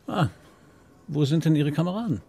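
A man's voice: on the high side, expressive, normal speed, creaky, warm tone, breathy edge, heavily acted. A middle-aged man acting a shrewd, patient police commissario in a radio drama, probing and dry in manner. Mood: probing, calm.

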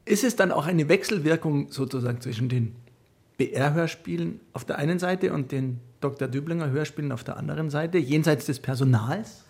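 A man working as a radio interviewer, putting prepared questions in a measured, articulate way. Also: a little high, darkish tone, breathy edge.

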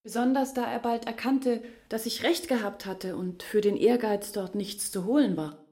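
An actress playing a grave woman recalling her family's hopeless years in a measured radio drama monologue. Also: fairly high pitch, dark tone, lightly acted.

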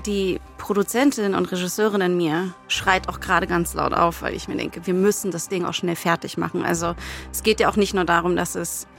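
A woman's voice: on the low side, steady tone, brisk, gravelly, soft background music. A woman who works as a producer and director, talking casually and spontaneously in a working discussion.

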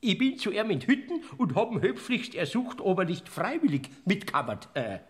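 A man's voice: high voice, sing-song, normal speed. An actor playing a timid, sly male Death figure in a classic Bavarian radio play, with a whiny, stammering manner and a Bavarian accent. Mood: sheepish confession.

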